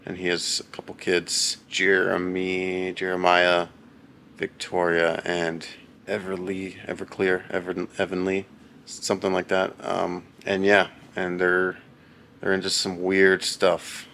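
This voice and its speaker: Deep, gravelly young man rambling deadpan. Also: measured pace, a little hiss or hum. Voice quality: very low voice. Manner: deadpan, rambling and self-mocking. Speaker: husband reading a book on camera. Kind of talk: casual vlog chat.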